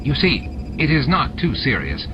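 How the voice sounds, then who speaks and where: A man's voice: medium pitch, huge pitch swings, moderate pace, background noise, pitched above the performer's own voice. Male narrator as a fawning alien henchman, cowardly, placating, eager to please, audiobook character, dull recording, music underneath. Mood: nervous, placating. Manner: obsequious, placating.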